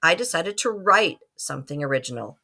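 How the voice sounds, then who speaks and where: A woman's voice: low-pitched, a little fast, vocal fry, heavy background noise. A woman reads a children's picture book aloud to students, expressively acting out the story. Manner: animated, acts out the story. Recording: loud music behind the voice. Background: musical soundscape.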